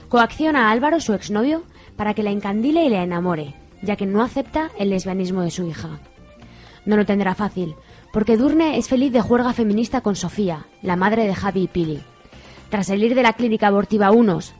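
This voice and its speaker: A woman's voice: medium pitch, darkish tone. A woman with an accent from Spain reading scripted narration for a radio soap. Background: faint music.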